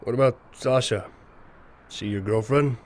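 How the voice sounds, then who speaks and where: A man's voice: medium pitch, a little slow, natural voice. A man playing a socially awkward, guarded young man in an amateur audio drama, blunt and offhand.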